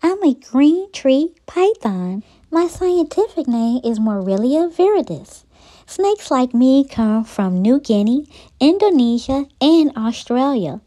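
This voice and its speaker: Tiny young storybook snake girl voiced by a woman, high pitched, sweet, earnest, polite, lively intonation. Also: slow pace, full character voice. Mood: informative.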